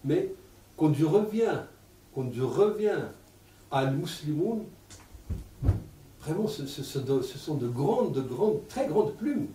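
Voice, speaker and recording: A man in his sixties with an Arabic accent, giving an animated public talk with many repeated words. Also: a little high, slow, long pauses, slight background noise. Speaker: lecturer. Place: lecture room. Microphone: table stand mic. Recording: echoey room, mic at a distance.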